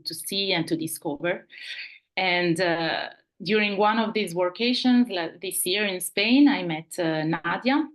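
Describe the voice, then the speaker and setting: A woman from Italy with an Italian accent, hosting and presenting an online webinar over a video call, halting and restarting as she searches for words. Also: fairly low pitch. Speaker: webinar host and project manager.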